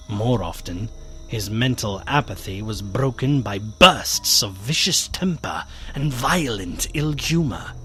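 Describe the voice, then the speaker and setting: An actor playing a courteous, concerned gentleman friend who narrates a gothic radio drama in a formal, solemn manner. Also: fairly low pitch.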